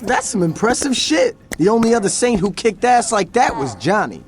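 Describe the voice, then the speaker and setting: A man's voice: sits high, big pitch swings, moderate pace. An actor playing a young male street gang member in a video game, talking in street slang. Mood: impressed.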